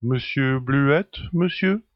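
Man as discreet servant, polite, attentive, restrained, mid-pitched, dry recording, audio drama. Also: very slow, no echo, dull audio. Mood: deferential. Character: deferential male household valet, discreet and attentive. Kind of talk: French audio drama acting.

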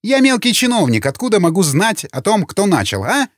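A man voicing an agitated, indignant minor official in an audiobook, ranting with emphatic, sharp-tongued delivery. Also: on the high side, big pitch swings, normal speed, no room sound, clean studio sound, pitched above the performer's own voice. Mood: defensive, irritated. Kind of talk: audiobook character acting.

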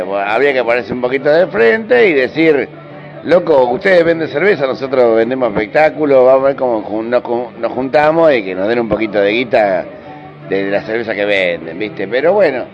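A man's voice: medium pitch, expressive, normal speed. Argentine man, colloquial, on dull-sounding tape. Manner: colloquial, slangy, emphatic repetition. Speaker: interviewed rock musician. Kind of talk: informal interview. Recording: dull radio interview over music.

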